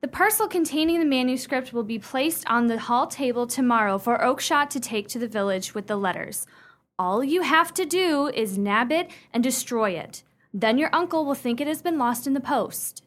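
A woman's voice: sits high, expressive, normal speed. A woman playing a prim, imperious young upper-class fiancée in a radio play, speaking sternly with precise, formal diction. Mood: commanding.

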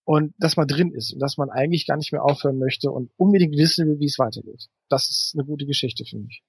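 A middle-aged man, explaining things in a conversational interview with frequent hesitant pauses. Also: a little high, breathy edge, no echo. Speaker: interview guest, writer.

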